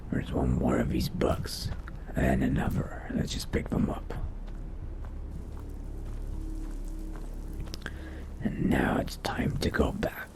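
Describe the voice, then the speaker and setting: A man voicing a brooding, studious vampire mage in a video game roleplay, musing quietly to himself in a whispery, gravelly voice. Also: very deep voice, monotone, full character voice. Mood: musing, detached. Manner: slow, measured, musing to himself. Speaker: roleplay gamer. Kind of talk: in-character game roleplay narration.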